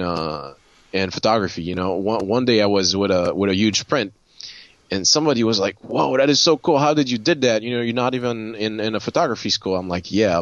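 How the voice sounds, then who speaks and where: A man from Haiti telling a personal story casually, in a halting way with repeated words. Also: fairly low pitch, fairly bright tone, no echo, dull audio. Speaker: guest photographer and designer.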